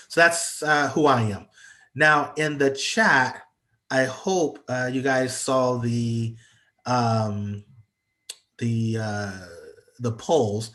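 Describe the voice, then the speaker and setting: A man's voice: medium pitch, fairly bright tone. Congested guy on a video call, lots of ums and uhs, walking folks through a presentation. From United States. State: congested from allergies. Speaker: guest speaker on entrepreneurship. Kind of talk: online presentation.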